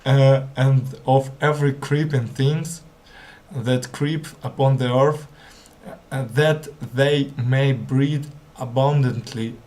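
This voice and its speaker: Slow, hesitant non-native English reader, male. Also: medium pitch, fairly even intonation, darkish tone. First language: not English. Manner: hesitant, stumbling, repeats words. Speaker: language learner. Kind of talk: halting read-aloud of scripture.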